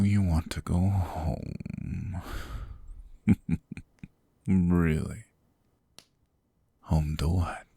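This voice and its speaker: A man voicing a cold, sadistic, possessive demon king in an ASMR roleplay, talking slowly and mockingly in gravelly whispers close to the mic. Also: rumbling low, dark tone, no room sound, full character voice. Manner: slow, mocking, condescending. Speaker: voice actor.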